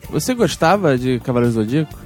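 A man with a Brazilian accent hosting a podcast, telling anecdotes in an excitable, slangy, joking manner. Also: medium pitch, rapid-fire. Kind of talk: casual chat, telling anecdotes. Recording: faint music behind the talk.

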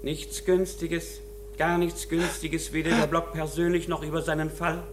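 Actor as imperious lawyer, flat, measured, noisy backing. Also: male voice, on the high side, natural voice. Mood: domineering. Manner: measured, pompous, commanding. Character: imperious male lawyer, cold, condescending and domineering. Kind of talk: German radio play acting. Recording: clear background noise and hum.

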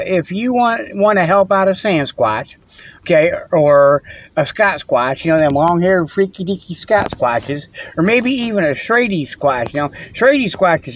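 A man's voice: sits high, slight background noise, rough recording. A man hosting a rambling comic monologue from inside his truck, folksy and full of "okay" and "you know.". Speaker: host.

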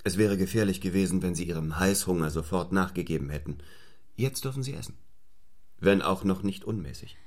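An actor playing a stern, ambitious young clergyman in a German radio play, speaking in a measured, formal and probing manner. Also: sits low, a little mellow, close to an everyday voice. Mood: stern, cool.

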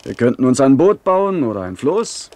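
An actor playing a young gentleman adventurer in a radio play, narrating a sea voyage with literate, clearly phrased storytelling. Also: mid-range voice, sing-song, normal speed, natural voice. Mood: questioning.

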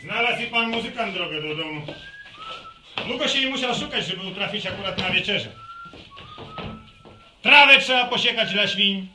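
A man playing a gruff, weary middle-aged farmer in a radio drama, grumbling and sardonic. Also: high-pitched, animated, moderate pace, pauses often, sharp tone, full character voice. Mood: sarcastic scolding. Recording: noisy, echoey, distant radio recording.

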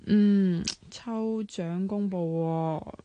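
An actress playing a sharp-tongued, bossy, excitable young woman in a radio drama, chatty and expressive, with a Hong Kong Cantonese accent. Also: medium pitch, very slow, no echo, muffled recording. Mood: curious.

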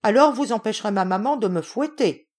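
A woman's voice: medium pitch, expressive, brisk, darkish tone, voice pushed up, close to an everyday voice. A woman voicing a frightened, tearful little boy with a pleading, childlike manner in a storybook. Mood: timid, hopeful.

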